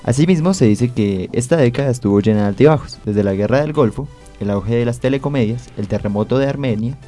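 A man in his twenties hosting a radio segment, talking in a relaxed, conversational way. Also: medium pitch, fast, soft background music. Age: 20s. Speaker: radio host.

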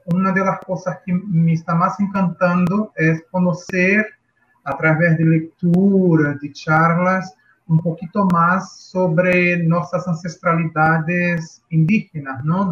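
A Brazilian man with a Portuguese accent speaks Spanish slowly and carefully, often pausing to find his words. His voice sits a little high for a man. The audio is muffled and lo-fi, like a remote call. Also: steady tone. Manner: slow, thoughtful, searching for words. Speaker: guest teacher. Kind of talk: reflective interview answer.

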